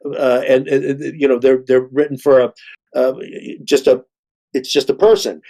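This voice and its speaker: A middle-aged man, an actor, talks reflectively in a panel discussion, haltingly, often repeating and restarting his words. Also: mid-range voice, little pitch movement, dark tone.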